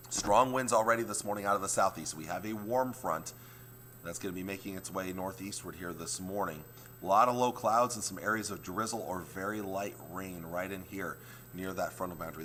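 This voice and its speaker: Hoarse low-voiced weatherman. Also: a bit bright, slight background noise. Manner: explains maps step by step, repeats points. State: hoarse, losing his voice. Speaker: TV meteorologist. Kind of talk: morning weather forecast.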